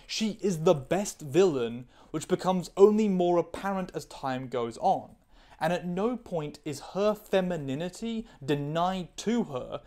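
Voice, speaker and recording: A woman's voice: lowish voice, animated, measured pace, warm tone. A woman reading her own scripted video essay, candid, opinionated and analytical. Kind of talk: scripted commentary.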